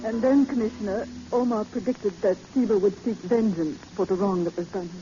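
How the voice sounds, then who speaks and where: An actress playing an earnest, anxious widow with a melodramatic, pleading manner in old-time radio style. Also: female voice, mid-range voice, a little fast, music in the background, close to an everyday voice. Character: anxious, earnest, credulous wife, newly widowed. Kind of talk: 1940s radio acting. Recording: muffled old radio recording.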